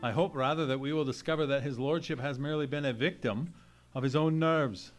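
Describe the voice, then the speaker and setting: An actor playing a loyal, sceptical Victorian man of science in an old-time radio mystery play, speaking in an earnest, measured, formal manner. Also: fairly high pitch, loud music behind the voice, lightly acted. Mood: earnest, puzzled. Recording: noisy live theatre stage recording.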